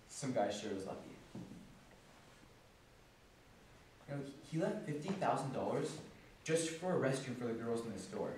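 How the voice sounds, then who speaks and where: An actor playing an agitated, bitter working-class bookkeeper in a rambling, slangy, halting theatrical stage monologue. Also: male voice, sits high. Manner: rambling, halting, slangy, swinging from muttering to outbursts. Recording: echoey distant stage recording, faint noise.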